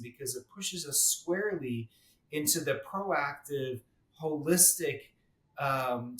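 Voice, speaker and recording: A man's voice: a little high, a bit bright. A man who is a dermatologist, explaining medicine as a guest in a podcast interview and often repeating a word as he restarts. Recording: roomy echo.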